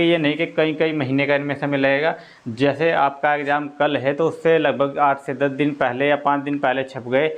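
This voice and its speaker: A man's voice: fairly high pitch, lo-fi sound. A man explaining exam news informally as a coach, repeating his points and often checking that listeners follow.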